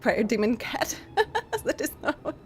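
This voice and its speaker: A young woman streaming a game and chatting casually with her viewers, giggling often and pausing to restart her sentences. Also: fairly high pitch, big pitch swings, faint music behind. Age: young adult. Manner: giggly, halting with many pauses and restarts.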